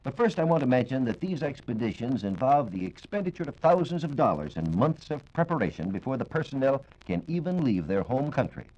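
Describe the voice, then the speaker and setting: An American man giving a formal, measured, scripted science talk as a reporter on 1940s radio. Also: medium pitch, very animated, darkish tone. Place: radio studio. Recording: dull, band-limited 1940s radio recording.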